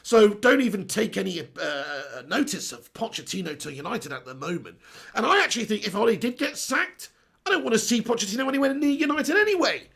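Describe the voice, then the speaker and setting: Hoarse male stream host, gravelly, higher-pitched, rambling casual rant. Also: sing-song, fairly quick, fairly bright tone. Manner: rambling, repeats himself, reads viewer comments aloud. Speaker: host of a fan live stream. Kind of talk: casual live-stream commentary.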